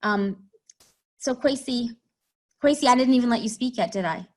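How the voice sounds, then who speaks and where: A woman moderating an online panel, briskly thanking speakers and moving to the next question. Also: fairly high pitch, darkish tone.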